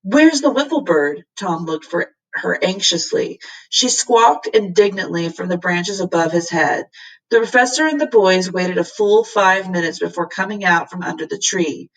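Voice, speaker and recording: A woman teacher reading a children's storybook aloud with expressive narration, recorded away from the microphone. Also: fairly low pitch, moderate pace, recorded from a distance, poor audio.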